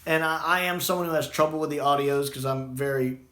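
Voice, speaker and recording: A man's voice: a little high, steady tone, fast, fairly bright tone. A man giving a casual, unscripted review in a rambling, conversational way. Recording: echoey room sound.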